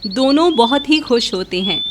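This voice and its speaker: A woman's voice: fairly high pitch, lively intonation, normal speed, background noise. A woman narrator reading a scripted children's moral story.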